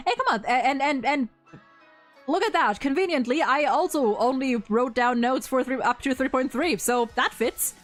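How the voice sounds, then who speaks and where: Female stream host, slightly high pitch, loose emphatic chat style, soft music underneath. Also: moderate pace. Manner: animated, rambling, emphatic with repeated words. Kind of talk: casual chat, ranking game story quests.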